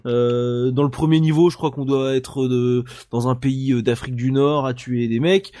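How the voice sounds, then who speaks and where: A man's voice: medium pitch, fairly even intonation, normal speed, warm tone, dry recording, clean studio sound. Wry man presenting on a podcast. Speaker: podcast co-host presenting a game. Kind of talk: casual podcast chat.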